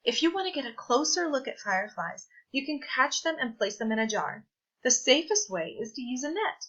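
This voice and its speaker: A woman reading a children's science picture book aloud, with clear, deliberate, teacherly phrasing. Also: sits high, big pitch swings, bright, forceful tone, dull audio. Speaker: read-aloud presenter.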